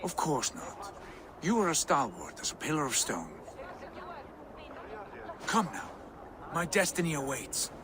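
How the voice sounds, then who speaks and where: A man's voice: on the high side, big pitch swings, unhurried, long pauses, crowd noise, background noise. An actor playing a proud Viking warlord in a video game, obsessed with his destiny and speaking in a lofty, portentous way. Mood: musing, distant. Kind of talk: dramatic video game acting.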